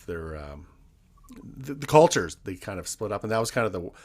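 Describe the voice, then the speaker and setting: A man's voice: on the low side, big pitch swings, normal speed, darkish tone. A man in his forties from Canada hosting a casual conversation with an old friend, talking in a rambling, hesitant way. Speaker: podcast host. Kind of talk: casual interview chat between old friends.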